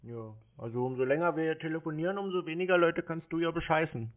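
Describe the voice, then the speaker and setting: A man's voice: on the high side, fairly even intonation, fast. Man, dry and provocative, casual phone chat, unruffled delivery, narrow radio-like band. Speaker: prank call creator baiting call-centre scammers. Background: phone call.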